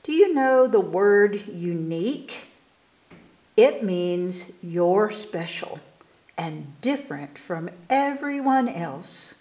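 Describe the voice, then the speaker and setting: A woman reading children's poems aloud at storytime, expressively and with careful, animated delivery for young listeners. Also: medium pitch, echoey room. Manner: animated, unhurried, enunciating for children. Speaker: library storytime reader. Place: indoors in a room, book filmed on table.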